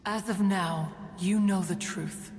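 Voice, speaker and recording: Stately ancient adeptus in a reverberant hall. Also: female voice, medium pitch, fairly even intonation, slow, a little mellow. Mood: wistful, solemn. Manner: formal, stately, archaic storytelling, refers to self as "one". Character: proud, ancient, aloof immortal female bird adeptus. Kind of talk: scripted video game dialogue.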